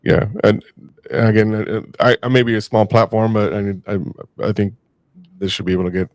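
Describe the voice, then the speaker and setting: A man co-hosting a podcast, with a gravelly voice, talking in a joking, halting way full of fillers. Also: lowish voice, fairly even intonation, a little mellow, no echo. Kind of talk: casual podcast conversation.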